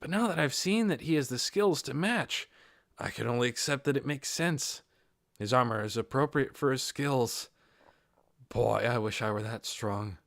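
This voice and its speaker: A man's voice: medium pitch, moderate pace, creaky, a bit bright, no room sound, clean studio sound. A man voicing an earnest, modest young male adventurer leader in an audiobook, explaining things carefully. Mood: admiring.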